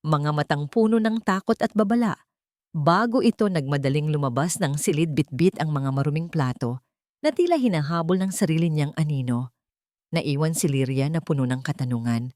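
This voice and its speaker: A woman's voice: low voice, lively intonation, moderate pace, a little mellow, no echo, clean studio sound. A woman narrating a dramatic scripted love story as an audiobook.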